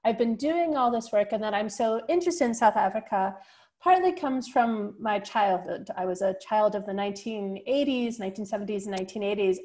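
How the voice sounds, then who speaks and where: A middle-aged American woman giving an online architecture lecture over a video call, in a clear, steady academic way with some fillers. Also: medium pitch. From United States. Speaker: guest lecturer.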